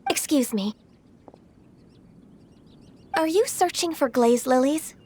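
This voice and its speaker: High-voiced, gentle immortal secretary. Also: female voice, expressive, takes it slowly, heavy background noise. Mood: polite. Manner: polite, soft-spoken, hesitant, formal. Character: gentle, earnest, ancient half-qilin female adeptus secretary. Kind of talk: video game cutscene dialogue. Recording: music under the voice. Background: background music.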